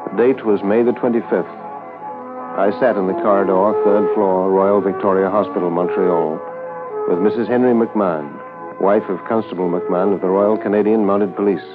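A sober, sympathetic policeman voiced by a male actor tells his story in a lowish voice. He is measured and understated in 1950s radio drama style, on a thin old recording with music. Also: fairly even intonation, close to an everyday voice. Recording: narrow-band 1950s radio transcription.

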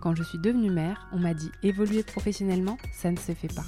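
A woman hosting a podcast, reading her introduction and then asking relaxed questions with a few fillers. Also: fairly low pitch, fast, music in the background. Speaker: podcast host. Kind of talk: scripted intro then interview.

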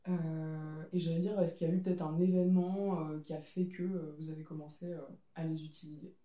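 A woman's voice: low-pitched, flat delivery, a little fast, mellow. A woman hosting a casual podcast round table, asking questions with many hesitations. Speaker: podcast host. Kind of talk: casual interview chat. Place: living room, around a coffee table. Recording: roomy sound from a distant mic.

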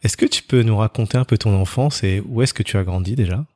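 A man's voice: fairly low pitch, fast, warm tone, no room sound, clean studio sound. A man hosting an interview podcast, reading a scripted opening and then asking short, affirming follow-up questions. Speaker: podcast host.

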